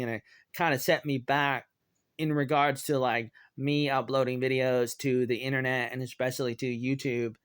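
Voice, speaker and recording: A man in his thirties with an American accent, giving a rambling personal update in a hesitant, halting way and often repeating himself. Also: medium pitch, clear, resonant tone, dry recording, studio quality. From Oregon, United States. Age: 30s. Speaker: online video creator.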